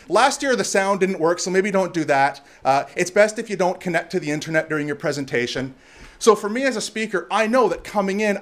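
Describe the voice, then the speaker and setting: A loud, outgoing man from Canada speaks from a stage in a bar venue, his voice pitched a little higher than most men's. He banters with the crowd, drops profanity and keeps an easy, joking energy through a talk on presenting. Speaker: conference speaker. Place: bar venue before live audience.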